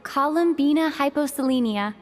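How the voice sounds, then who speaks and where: An actress playing a devoted, warm-hearted young woman in a game cutscene, speaking earnestly and tenderly. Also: high voice, moderate pace, soft background music, close to an everyday voice. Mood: happy, tender. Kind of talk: video game cutscene acting.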